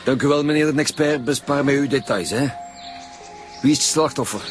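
An actor with a Flemish accent playing a grumpy, sarcastic chief detective in a radio drama, speaking curtly and dryly. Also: male voice, mid-range voice, fairly quick, close to an everyday voice. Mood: sarcastic, irritable. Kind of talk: naturalistic radio drama dialogue. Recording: faint music behind.

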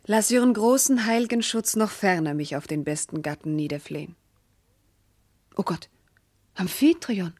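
A woman's voice: mid-range voice, normal speed, pauses often, a little mellow, no room sound, clean studio sound, natural voice. A woman playing a devout, tender noble wife in a classical verse radio drama, speaking with solemn declamation. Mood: startled. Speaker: actor.

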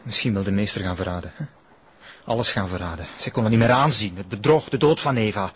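Blunt, agitated Flemish accomplice male actor, low-voiced and quick, TV crime drama through muffled, noisy sound. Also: close to an everyday voice. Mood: grim, anxious. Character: exasperated, anxious male accomplice, plain-spoken and scornful. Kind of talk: television crime drama acting. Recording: muffled lo-fi sound with background noise.

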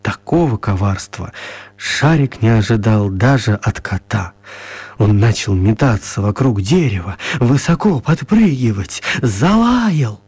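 A man narrating a children's radio play as an expressive storyteller, with theatrical, deliberate phrasing. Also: lowish voice, breathy edge, no echo.

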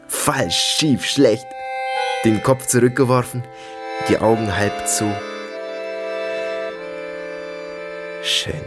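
An actor playing a brooding soldier haunted by family memories, in a halting, fragmentary radio-drama monologue. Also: male voice, mid-range voice, loud music behind the voice, natural voice. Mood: nostalgic. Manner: halting, fragmentary, repetitive musing.

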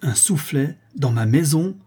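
A man's voice: medium pitch, moderate pace, mellow, breathy edge, lightly acted. A man voicing a stern, imperious countess in a storybook, with a curt and commanding manner. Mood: outraged. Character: stern, imperious countess, an outraged aristocratic mother.

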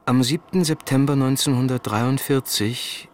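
A man's voice: medium pitch, a little slow, a little hiss or hum, lightly acted. A man narrating in a sober, scripted documentary style for a radio play.

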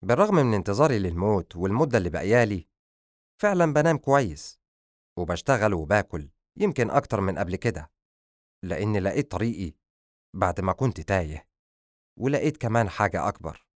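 A man's voice: on the low side, big pitch swings, fast, long pauses, dry recording. Man acting weary lovestruck young man, dry close sound. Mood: earnest, grateful. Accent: Egyptian. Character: ailing, lovestruck young man, gentle and playful. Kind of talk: audiobook character dialogue.

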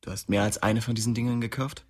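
A man's voice: mid-range voice, steady tone, fast, close to an everyday voice. A male actor in a radio play, playing a cornered young gang boss who is bitter, guarded and accusing. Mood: bitter, bargaining.

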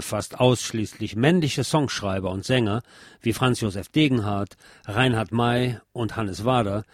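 Male feature narrator for scripted essay reading; formal, literate, measured, recorded dry with no room sound. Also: fairly low pitch, a little mellow, dry recording.

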